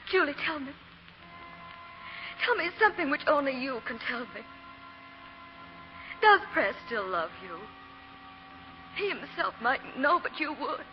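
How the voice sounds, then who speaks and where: An actress playing a gentle, anxious, noble young wife in 1940s radio drama style. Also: female voice, high-pitched, big pitch swings, a little slow, pauses often, soft background music. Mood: anxious, searching. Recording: muffled hissy 1940 radio with hum.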